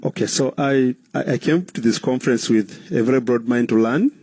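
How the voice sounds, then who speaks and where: A man from Uganda with a Ugandan accent, answering interview questions in a measured, earnest way, explaining things in short phrases. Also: medium pitch, mellow, no room sound. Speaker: guest, cooperative trainer.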